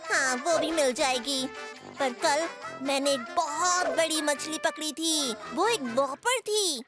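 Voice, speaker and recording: A woman voicing a mischievous, excitable young boy bear cub in a children's cartoon, boastful and playful. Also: high voice, slow. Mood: boastful, excited. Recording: loud children's music behind voice. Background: loud children's music.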